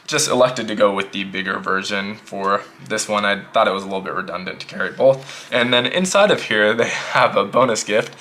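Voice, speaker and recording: A man casually reviewing a notebook pouch in a relaxed, rambling way, a little sick and slightly hoarse. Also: on the low side, fairly quick, few pauses, a little hiss or hum. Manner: relaxed, rambling, repeats himself. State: losing his voice, a bit sick. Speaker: EDC gear reviewer.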